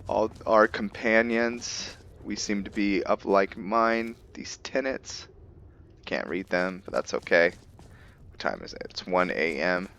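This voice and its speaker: A man's voice: lowish voice, takes it slowly, creaky, fairly bright tone, a little hiss or hum. A man giving casual, musing commentary as he role-plays through a game, talking as "we". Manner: musing, speaks as "we". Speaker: gamer narrating a role-play.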